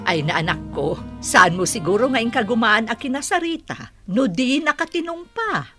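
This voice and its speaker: A woman's voice: fairly high pitch, expressive, normal speed, fairly bright tone, soft background music. An actress playing an Ilocano mother counseling her grown child in a radio drama. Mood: gently advising.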